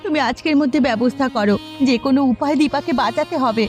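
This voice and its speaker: A woman's voice: high voice, fast, a little mellow, lightly acted. A woman voicing an anguished village mother in a melodramatic cartoon, pleading urgently. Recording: music behind the voice.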